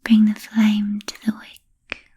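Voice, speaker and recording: A woman voicing a genteel, ancient vampire in a slow, formal, breathy whisper for an ASMR roleplay. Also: medium pitch, monotone, mellow, a little hiss or hum, lightly acted. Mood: gentle, musing. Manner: slow, halting, formal and deliberate phrasing. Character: genteel ancient vampire, languid, soothing, politely predatory. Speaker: ASMR performer.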